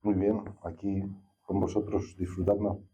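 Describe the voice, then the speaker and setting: Older-ish spanish guy getting interviewed, really dark husky voice, thoughtful, rambles and hesitates. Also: low voice, flat delivery, unhurried. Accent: Spain. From Castilla-La Mancha, Spain. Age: middle-aged or older. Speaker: interview guest, naturalist. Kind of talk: reflective conversational interview. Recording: slightly dull, lo-fi sound.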